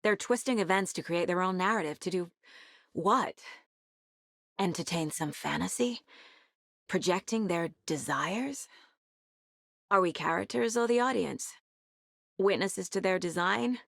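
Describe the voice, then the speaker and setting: An actress playing a level-headed, dry-witted FBI agent in a video game, with a measured, understated delivery as if thinking aloud. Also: female voice, lowish voice, gravelly, fairly bright tone, dry recording, exaggerated voice. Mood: focused, analytical.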